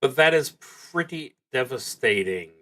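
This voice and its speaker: A man with a medium-pitched voice plays a solo roleplaying game and narrates it unscripted. His pace is very unhurried. He muses aloud, often halting and letting thoughts trail off before picking them up again. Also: lively intonation, pushed and bright. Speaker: streamer playing a solo tabletop roleplaying game.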